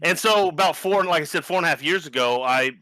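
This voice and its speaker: A man's voice: fairly high pitch, fast, pushed and bright, no echo. A man from New Zealand with an American accent, a business strategist chatting enthusiastically on a video call panel. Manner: enthusiastic, rambling. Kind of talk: casual panel chat.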